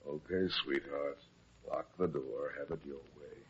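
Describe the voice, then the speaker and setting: An actor playing an unflappable fat private detective in an old radio drama, with an American accent and a terse, dry, courteous manner. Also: male voice, a bass voice, steady tone, a little slow, a little hiss or hum. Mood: wry, brisk. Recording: dull old radio recording.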